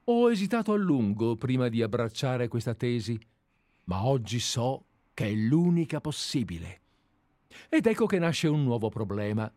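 A man's voice: medium pitch, sing-song, normal speed, darkish tone, dry recording. A man reading aloud as an obsessive, deferential admirer who has tracked a writer for years, in a dramatized radio play reading. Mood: reasoning. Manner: earnest, deferential, methodical. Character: obsessive, fawning male admirer-sleuth. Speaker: reader.

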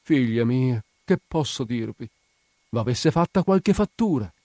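A man puts on a gossipy, evasive widow for an audiobook. She is pious and homely, sighing and exclaiming as she talks. The tone is lifted and soft, with no room echo. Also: medium pitch, lively intonation, a little slow, clean studio sound, pitched above the performer's own voice. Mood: dismayed. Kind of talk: dramatic audiobook character reading.

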